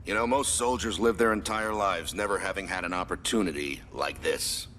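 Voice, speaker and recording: An actor playing a proud, stern military commander who speaks with solemn, emphatic authority in a video game. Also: male voice, low voice, moderate pace, bright, forceful tone, a little hiss or hum. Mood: grave. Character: proud, stern male military commander briefing his elite squad. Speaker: commanding officer. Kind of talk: dramatic video game cutscene acting.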